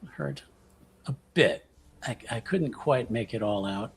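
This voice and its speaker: A man's voice: medium pitch, measured pace, creaky, slightly rough, heavily acted. A man voicing a humble, pious servant in a tabletop game, speaking in a cautious, formal and hesitant manner. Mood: cautious, grave.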